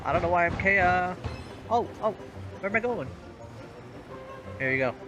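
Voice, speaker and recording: Male game streamer, casual and jokey reactive commentary. Slightly high voice, clear game music behind. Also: animated, normal speed, muffled recording. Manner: joking, reacting aloud. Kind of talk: casual livestream gameplay commentary. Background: game music and sounds.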